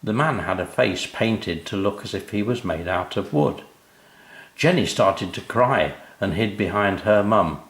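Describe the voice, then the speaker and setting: An older man with a British accent, reading a children's story as an expressive narrator. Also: on the low side, unhurried, echoey room.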